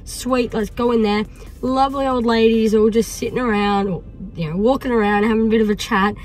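A croaky-voiced Australian woman talks to her followers from the driver's seat, with a fairly high voice. She is chatty and upbeat and fills her talk with ums and uhs. It sounds like a phone held at arm's length in a parked car. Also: fairly even intonation, a little fast, faint music behind. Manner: chatty, upbeat, many fillers. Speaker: vlogger. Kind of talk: casual vlog talk to camera.

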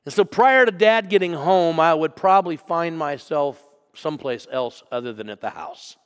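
A man preaching a sermon as pastor, telling a personal story and moving from loud to hushed. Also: on the high side, lively intonation, moderate pace, clear, resonant tone, big-room sound. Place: church sanctuary.

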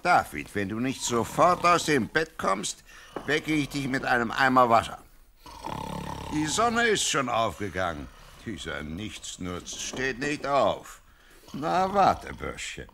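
An actor playing a shepherd boy's gruff but fond father in an audio play, theatrical and affectionate even when scolding. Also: mid-range voice, slow, noisy background, heavily acted. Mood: exasperated scolding.

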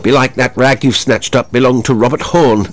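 Agitated, fearful period nobleman played by a male narrator, raised pitch, exclamatory, horror audiobook. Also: steady tone, moderate pace, a little hiss or hum, natural voice. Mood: urgent questioning. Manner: exclamatory, archaic speech.

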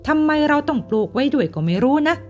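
A woman's voice: sing-song, fast, warm tone, faint music behind. A woman voicing a spoiled young man, the garden owner's son, in a haughty exclaiming voice in a bedtime storybook. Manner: haughty, exclaiming, high-pitched.

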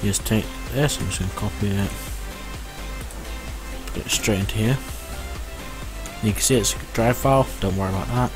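Man, slightly low pitch, casual tutorial delivery, explanatory, reassuring, clear background music. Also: normal speed, pauses often. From United Kingdom. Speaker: tech tutorial host. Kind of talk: casual step-by-step screen tutorial.

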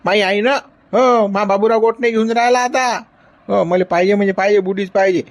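A man's voice: sits high, fairly even intonation, fairly quick. A man playing a whiny lovesick old man with a Varhadi accent. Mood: stubborn. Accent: Varhadi Marathi. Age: older. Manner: whiny, insistent pleading. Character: lovesick stubborn old man, grandfatherly and cranky. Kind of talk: comedy sketch acting.

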